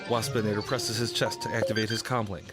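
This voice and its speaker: A man's voice: lowish voice, flat delivery, quick pace. A man reading scripted narration for a radio drama. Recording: loud music under the voice. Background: loud music.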